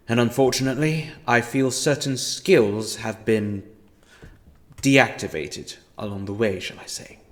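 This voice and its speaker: A man's voice: medium pitch, lightly acted. An actor playing a scheming, theatrical male villain in a fan audio drama, talking to a captive in a grandiose, mock-apologetic monologue.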